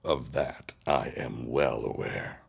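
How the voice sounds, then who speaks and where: Man playing a low-voiced, haughty period businessman. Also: slow pace, creaky, slightly rough, exaggerated voice. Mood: placating. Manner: haughty, theatrical, formal diction. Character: arrogant, sneering 1910s businessman, scheming and snobbish. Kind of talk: period audio drama acting.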